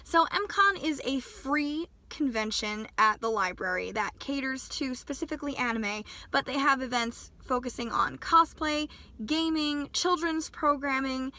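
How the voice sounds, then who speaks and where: Vlogger, female, mid-20s, fairly high, bright voice, a bit stuffy from a sinus infection, chatty. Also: unhurried. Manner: chatty and self-deprecating, laughs at herself. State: recovering from a sinus infection, voice not back to normal. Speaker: cosplay vlogger. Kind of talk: casual vlog chat.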